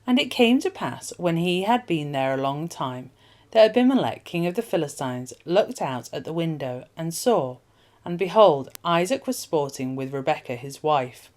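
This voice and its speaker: Low-pitched woman reading scripture aloud. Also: expressive, normal speed, a little mellow. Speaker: Bible reader. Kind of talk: scripture reading, formal narration.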